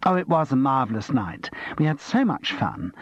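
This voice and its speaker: A man voicing a chatty, gossipy middle-aged woman with a sharp edge, husky and slightly dull-sounding. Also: mid-range voice, sing-song, moderate pace, no echo, voice pushed up.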